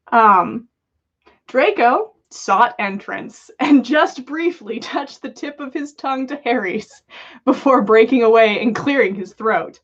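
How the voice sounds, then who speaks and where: A woman's voice: on the high side, lively intonation, slow, long pauses. A playful, giggly woman hosting a livestream, reading stories aloud and chatting casually. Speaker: podcast host reading listeners' stories aloud. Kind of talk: storytelling read-aloud with casual chat on a livestream. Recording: dull lo-fi livestream audio.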